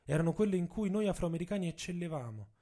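Man playing a proud, indignant young professor, higher-pitched. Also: lively intonation, fast, warm tone. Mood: bitter, measured. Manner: emphatic storytelling in the first person. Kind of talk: dramatic radio monologue. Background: music with instruments.

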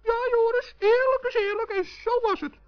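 A woman's voice: very high-pitched, fairly even intonation, normal speed. A woman voicing a small, earnest, kindly male forest gnome who frets and placates, in a children's radio play. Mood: worried. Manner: earnest, fretful, conciliatory. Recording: dull, muffled old recording.

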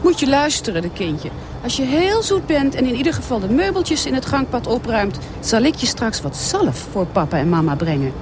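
A woman's voice: on the high side, big pitch swings, normal speed, background noise. An actress playing a motherly, patronising air stewardess in a satirical radio play. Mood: coaxing, sweet. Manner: sing-song, motherly, patronising. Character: cooing, coaxing air stewardess, treating passengers like toddlers.

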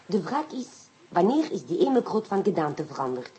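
A woman's voice: on the low side, very animated, normal speed, mellow, husky, full character voice. An actress playing a composed, analytical woman partner of a detective in a radio play, slipping in French phrases. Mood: reasoning, intrigued.

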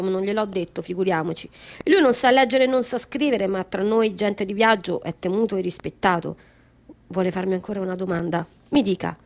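High-voiced actress as eager circus-born woman. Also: lightly acted. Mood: coaxing. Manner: conversational, insistent, storytelling. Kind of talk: radio theatre monologue.

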